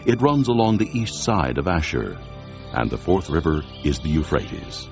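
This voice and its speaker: A man with a gravelly bass voice giving solemn, measured narration of dramatized scripture. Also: big pitch swings, a little mellow. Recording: dull lo-fi audio with music behind.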